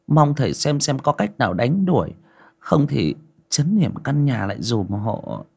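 A man's voice: mid-range voice, very animated, measured pace, creaky, darkish tone, breathy edge, pitched above the performer's own voice, exaggerated voice. A man voicing a talkative, devout older woman who fawns over a guest. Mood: fawning. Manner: fawning, talkative. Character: chatty devout older woman, eager hostess.

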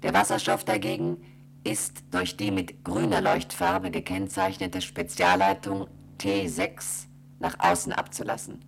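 Gravelly monotone station computer. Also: male voice, low voice, slight background noise, low-quality recording. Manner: dry instructional delivery, repeats instructions. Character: station computer reading emergency instructions. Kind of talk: radio play.

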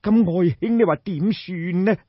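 A man's voice: high voice, unhurried, breathy edge, no room sound, dull audio, pitched above the performer's own voice. A man voicing an anxious, easily alarmed king in an audiobook, stammering and repeating himself as he exclaims. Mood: anxious, pleading.

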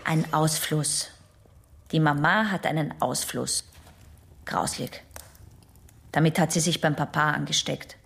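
An actress playing a young female patient in a German radio drama, halting, prim and defensive as she answers. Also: deep voice, fairly even intonation, vocal fry, fairly bright tone, slight background noise, exaggerated voice. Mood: resentful, confiding. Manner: halting, protesting, naive and prim.

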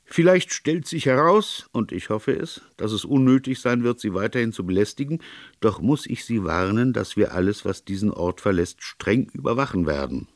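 A man voicing a stern, lanky British police colonel in a detective audiobook, speaking in a formal, authoritative, clear-cut way. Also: fairly low pitch, sing-song, moderate pace, no room sound, studio quality, voice pushed up. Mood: stern, official. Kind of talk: audiobook character dialogue in a detective story.

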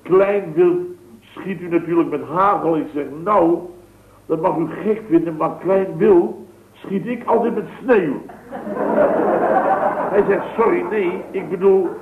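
A man's voice: sits high, unhurried. An actor playing a boastful, wisecracking man at a building firm, telling a rambling comic anecdote in a 1960s radio sketch. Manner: chatty, rambling anecdote with punchy comic asides. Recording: tinny, noisy, echoey old radio broadcast.

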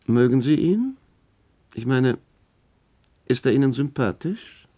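An actor playing a commanding, dryly ironic hospital doctor in a German radio drama, brisk and authoritative in manner. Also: male voice, medium pitch, very animated, mellow, breathy edge, heavily acted. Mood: persuasive. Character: worldly male hospital doctor, commanding, wry, calculating.

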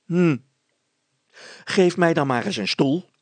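A man's voice: fairly high pitch, slow pace, dry recording, pitched above the performer's own voice. A man voicing a suspicious middle-aged wife and mother in a story, scornful and interrogating.

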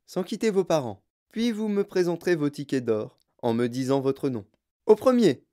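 A storyteller voicing a sprightly, mischievous old chocolatier with a clear, fluty voice, excitable and effusively polite, in an audiobook. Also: mid-range voice, normal speed, natural voice. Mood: welcoming. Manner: excitable, repeats himself in courteous bursts.

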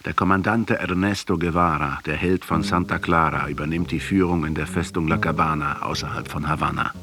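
An actor playing an earnest professor, intense and accusatory, opposed to all violence, in a radio play. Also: male voice, extremely low pitch, steady tone, brisk, pushed and bright, full character voice. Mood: measured, ironic. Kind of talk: German radio drama dialogue.

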